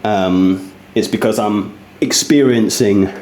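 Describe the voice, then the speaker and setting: A man's voice: on the low side, slow pace, a little mellow. A man talking casually and earnestly while demonstrating rehab exercises, in a confiding, conversational fitness vlog manner. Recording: echoey, roomy sound.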